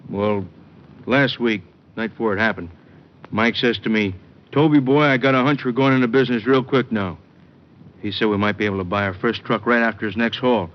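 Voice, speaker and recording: An American actor playing a huge, earnest, simple-hearted wrestler in an old-time radio drama. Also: male voice, on the low side, fairly even intonation, lightly acted. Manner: plain, slow-witted sincerity. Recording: muffled, noisy vintage radio recording.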